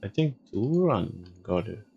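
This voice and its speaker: A man's voice: lowish voice, sing-song, a little slow, creaky, husky, muffled recording. A man giving casual game stream commentary, muttering to himself in short fragments.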